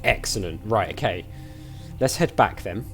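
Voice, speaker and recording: A man's voice: lowish voice, expressive, normal speed, fairly bright tone. A man giving casual let's play commentary on an adventure game, chatty and musing aloud as he goes. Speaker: gamer playing an adventure game. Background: game music.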